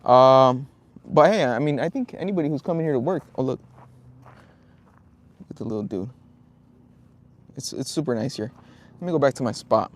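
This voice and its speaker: A man's voice: medium pitch, lively intonation, moderate pace, pauses often. A young man from Southern California chatting casually on a fishing livestream outdoors at a lake, reading out viewer comments in a laid-back, rambling way with lots of ums.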